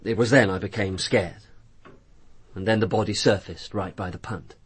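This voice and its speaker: A flippant young British witness in a radio drama. His voice is low and rough-edged. He talks in clipped, sardonic phrases with callous ease. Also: steady tone, moderate pace. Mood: grim, clipped. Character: flippant, callous young man recounting a drowning to police.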